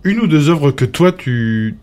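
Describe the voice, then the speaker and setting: A man of Portuguese origin interviewing a guest in a relaxed chat. He talks hesitantly, restarting his sentences and hunting for the right term. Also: fairly high pitch, unhurried. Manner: hesitant, restarts, searches for words. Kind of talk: casual conversation.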